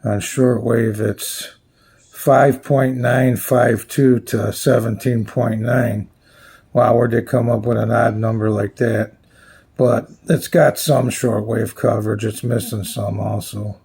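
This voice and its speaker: A man giving a casual, unscripted product walkthrough as a reviewer, plainspoken and halting as he thinks aloud. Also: fairly low pitch, level tone, darkish tone. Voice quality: gravelly, husky. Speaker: radio reviewer presenting his channel.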